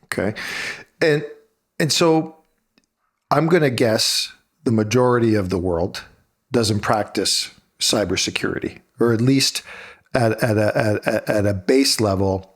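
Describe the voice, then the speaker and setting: A lower-voiced man hosts a podcast from a video call. He asks loose, rambling questions, circling back and restarting as he searches for the point. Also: measured pace. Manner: rambling, many fillers and restarts. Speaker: podcast host. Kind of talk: casual interview questions.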